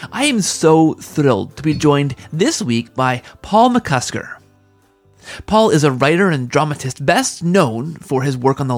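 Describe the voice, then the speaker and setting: Enthusiastic man hosting a podcast. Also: fairly high pitch. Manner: enthusiastic, earnest. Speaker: podcast host. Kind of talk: podcast introduction and interview talk.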